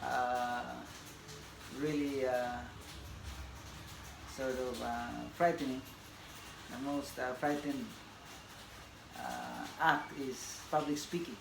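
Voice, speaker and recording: A Filipino man in his forties, a tennis coach whose first language is Bisaya, introducing himself in a halting, casual way with many fillers and laughs. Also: mid-range voice, fairly even intonation, creaky. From Philippines. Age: 40s. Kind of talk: casual self-introduction to camera. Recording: echoey room, audible background noise.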